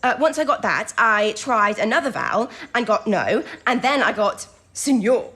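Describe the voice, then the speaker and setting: An actress playing a keen, quick-witted young codebreaker in a wartime drama, eager and animated. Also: female voice, fairly high pitch, husky, heavily acted. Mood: breathless excitement. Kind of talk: period audio drama acting.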